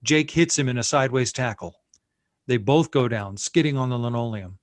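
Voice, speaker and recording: A man's voice: mid-range voice, normal speed, no echo, studio quality. A man reading scene headings and stage directions as narrator at a screenplay table read.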